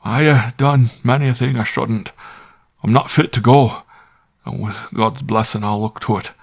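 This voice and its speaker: A man voicing a middle-aged country squire who speaks in loud, pleading rustic dialect. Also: medium pitch, normal speed, vocal fry, pitched above the performer's own voice, close to an everyday voice. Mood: remorseful.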